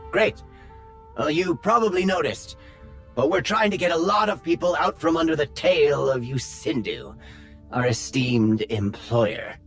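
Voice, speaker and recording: An actor playing a quick, earnest young rebel leader in a video game, a little wry. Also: male voice, on the high side, fairly bright tone, faint music behind. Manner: hurried, earnest, a bit wry.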